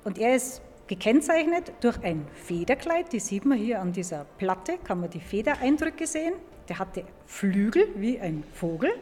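A woman's voice: medium pitch, darkish tone, slight background noise. A woman speaking as a museum expert, explaining things to young listeners in a didactic way. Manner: clear, didactic phrasing. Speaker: museum paleontologist, expert guest. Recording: echoing hall, music behind.

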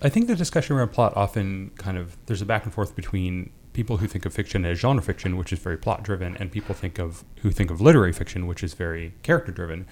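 A man's voice: sits low, animated, fast, few pauses, a little mellow, slight background noise. A man speaking as a guest on a podcast, explaining his ideas about literature as he thinks aloud. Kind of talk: casual literary discussion.

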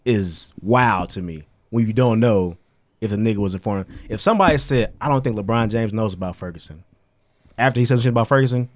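A man co-hosting a podcast, giving his take in quick, slangy, opinionated banter. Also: fairly low pitch. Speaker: podcast co-host. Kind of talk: casual chat.

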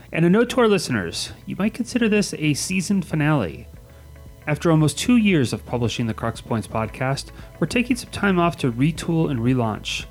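Podcast host: man, middle pitch, steady scripted sign-off, soft music underneath. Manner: friendly, measured, scripted wrap-up.

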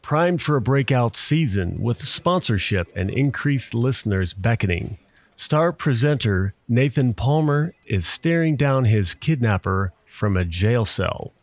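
A man's voice: mid-range voice, lively intonation, a little slow, dry recording. A man narrating a scripted audio drama in a mock-serious, dramatic manner.

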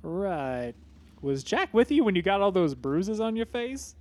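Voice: medium pitch, sing-song, a little slow, darkish tone. A performer voicing a level-headed teenage boy sidekick in a comedy sketch, dry and patient.

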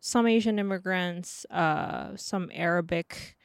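A woman's voice: on the low side, slow pace, smooth, no echo. A woman in her thirties from France, a native speaker of Cantonese and French, casually recalling personal memories as an interview guest. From France, outside Paris. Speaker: artist. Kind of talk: casual personal recollection in conversation.

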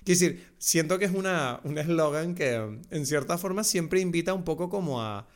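A young man with a Canarian accent, co-hosting a casual film podcast, animated and quick to laugh, acting out quoted lines. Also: a little high. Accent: Canarian Spanish. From Canary Islands. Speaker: podcast co-host.

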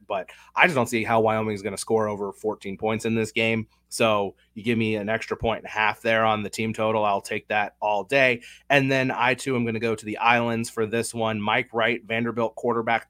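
A man with a head cold co-hosting a casual sports betting podcast, talking through picks in a measured, analytical way. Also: fairly low pitch, fairly bright tone, no room sound. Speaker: podcast co-host.

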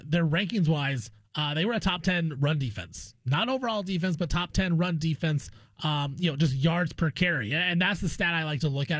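A man with an American accent and a notably high voice talks sports like a show host. He gives his opinions in a casual, conversational way. The sound is dry, with no room echo. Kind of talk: casual sports discussion and analysis.

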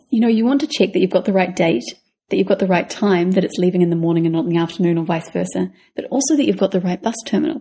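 A woman's voice: mid-range voice, dark tone, breathy edge. A woman with a New Zealand accent, co-hosting a travel podcast and giving practical advice in a casual way. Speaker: podcast co-host.

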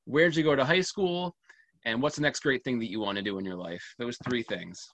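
A man's voice: mid-range voice, fairly quick, a bit bright, dry recording. A man hosts a podcast in a loose, joking style, teasing his co-host and throwing in asides. His voice sounds dry and close, with no room around it. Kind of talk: casual chat.

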